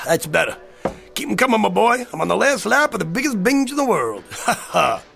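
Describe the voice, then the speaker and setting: A man's voice: high-pitched, sing-song, moderate pace, vocal fry, pushed and bright, breathy edge, full character voice. A man playing a brash, argumentative hard drinker in a radio drama, with a pushy, sarcastic way of talking. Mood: boozy, jovial. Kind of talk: dramatized radio-play dialogue. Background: faint music.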